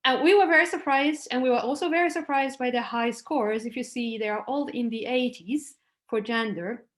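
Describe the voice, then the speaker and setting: Middle-aged Italian-born woman, slightly raised pitch, chatty lecturer on a video call. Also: moderate pace. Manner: conversational, with frequent restarts and "like" fillers. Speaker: academic speaker. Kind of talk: conference talk over slides.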